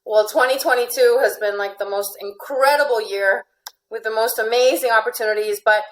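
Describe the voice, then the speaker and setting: A woman's voice: fairly high pitch, fairly even intonation, moderate pace, low-quality recording. Chatty woman with an American accent. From born in Finland, grew up in Venezuela. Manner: chatty, repeats phrases, laughs often. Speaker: interview guest, life and relationship coach. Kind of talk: casual conversational interview answers.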